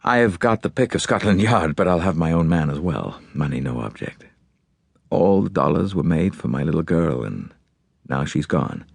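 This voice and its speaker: A man's voice: a bass voice, lively intonation, normal speed, vocal fry, husky, dry recording, studio quality, full character voice. A man voicing a large, older, blunt American millionaire and grieving father, with an American accent, in an audiobook. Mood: grim, determined. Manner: blunt, forceful, businesslike. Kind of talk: audiobook character acting.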